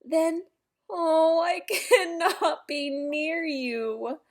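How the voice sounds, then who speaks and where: A woman voicing an anxious, melodramatic boy elephant from a picture book, with exaggerated, drawn-out words. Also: extremely high pitch, fairly even intonation, full character voice. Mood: worried, dramatic. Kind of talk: animated picture book read-aloud.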